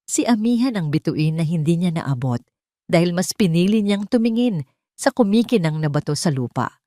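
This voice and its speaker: Woman narrating a love story, kinda low voice, slow and heartfelt, dry close mic. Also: dark tone, dry recording. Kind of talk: scripted drama narration.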